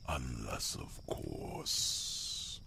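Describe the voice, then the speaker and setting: A man playing a grave yet gentle dragon warrior in a whispered ASMR fantasy roleplay, breathy and gravelly, musing slowly with drawn-out hums. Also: slight background noise, heavily acted. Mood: grave, confident.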